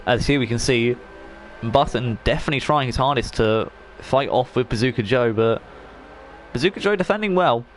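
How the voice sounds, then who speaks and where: A man's voice: medium pitch, lively intonation, normal speed, a little hiss or hum. A man commentating a sim racing league race in a chatty, rambling way, while he is losing his voice. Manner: chatty, rambling, frequent fillers and restarts.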